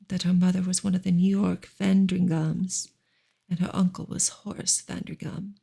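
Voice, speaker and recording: A woman reading aloud in a soft, breathy, whispery ASMR style, slow and deliberate. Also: medium pitch.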